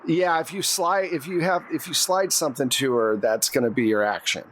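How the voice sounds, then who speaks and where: A man's voice: on the high side, fairly even intonation, brisk, a bit bright, a little hiss or hum. A man acting as game master, narrating action and calling for rolls in a conversational way. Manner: conversational, narrates action in plain second person. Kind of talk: running a tabletop roleplaying game.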